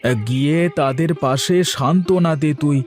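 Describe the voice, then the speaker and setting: A man playing a fatherly village neighbour in a cartoon, coaxing, soothing and gently preaching. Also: fairly high pitch, moderate pace, lightly acted. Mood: consoling. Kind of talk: animated cartoon dialogue.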